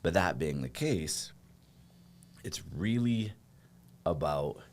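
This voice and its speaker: A man in his forties with a deep voice, a real estate team leader talking as a podcast guest in a jovial, rambling way. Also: lively intonation, slow pace, slight background noise. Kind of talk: casual podcast conversation.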